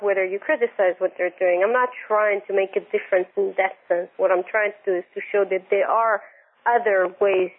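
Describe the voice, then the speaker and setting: Woman on a tinny phone line, Hebrew native. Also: mid-range voice. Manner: thoughtful, halting, searching for words. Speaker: film director and journalist. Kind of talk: phone interview.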